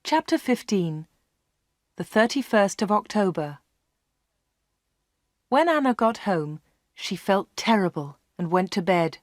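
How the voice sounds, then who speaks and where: Female narrator, clear measured storybook reading, dry close sound. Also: medium pitch, animated, no echo. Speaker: audiobook narrator. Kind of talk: scripted story narration for English learners.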